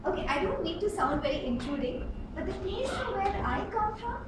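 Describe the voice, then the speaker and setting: A woman giving a rehearsed storytelling speech at a club contest, with an emphatic, theatrical delivery and dramatic pauses. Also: very high voice, sing-song. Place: indoor club meeting room. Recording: roomy, distant mic with clear background noise.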